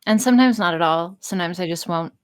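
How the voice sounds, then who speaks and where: A woman's voice: fairly low pitch, fast, no echo, clean studio sound. A woman chatting casually as an interview guest, blunt, sweary and self-deprecating in the way she talks. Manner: sweary, blunt, self-deprecating, animated storytelling with acted-out asides. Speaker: podcast guest, audio voice performer.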